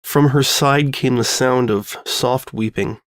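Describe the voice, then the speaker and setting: A man's voice: mid-range voice, steady tone, takes it slowly, no echo, studio quality. A man reading a scripted story as its narrator. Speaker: narrator reading fan fiction.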